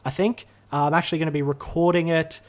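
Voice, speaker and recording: A young man with a slightly hoarse voice, rambling casually to camera in a gaming channel vlog, with lots of fillers and restarts. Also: fairly high pitch, steady tone, fast talker. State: slightly hoarse from being sick. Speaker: gaming YouTuber. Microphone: camera mic, talking to camera.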